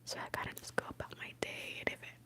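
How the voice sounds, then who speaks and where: Young woman whispering closely, native Spanish speaker. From born in Mexico, moved to the US as a young child. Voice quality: breathy whisper. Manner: soft, unhurried, close to the microphone. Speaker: ASMR live streamer. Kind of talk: ASMR whispering, casual rambling and answering chat. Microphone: close, highly sensitive microphone.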